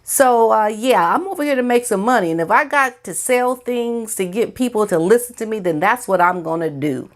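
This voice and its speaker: A woman's voice: a little high, expressive, moderate pace, few pauses. An American woman giving informal opinion commentary as a vlogger, speaking in a sassy, emphatic, colloquial way.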